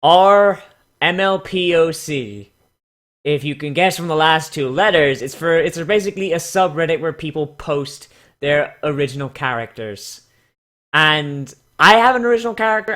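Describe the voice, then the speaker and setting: A man hosts a comedic online show in a sharp, bright voice that sits a little high. He talks casually and sarcastically, swears freely, and reads aloud with theatrical mock drama. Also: normal speed. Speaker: YouTube host.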